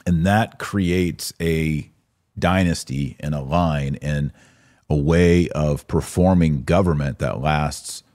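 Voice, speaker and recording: A man's voice: a bass voice, steady tone, slow pace, darkish tone, no room sound, studio quality. A man hosting a live podcast, giving casual commentary and explaining things conversationally to listeners. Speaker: podcast host.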